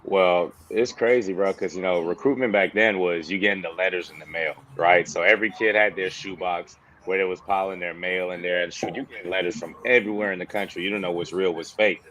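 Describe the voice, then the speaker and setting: A deep-voiced man in his thirties with a softened Bahamian accent talks loosely, peppering his stories with "bro" and "you know". A faint hiss of background noise sits under the recording. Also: steady tone, a little fast, hardly any pauses, low-quality recording. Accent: Bahamian, toned down. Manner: casual, slangy, says "bro" and "you know". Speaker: former player, now coach. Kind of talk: relaxed interview storytelling.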